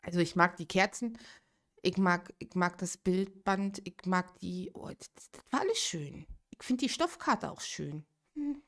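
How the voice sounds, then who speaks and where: A woman's voice: on the low side, lively intonation, unhurried, fairly bright tone. A woman in her late thirties chatting casually on a live game stream, thinking aloud and answering viewers' chat. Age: 30s.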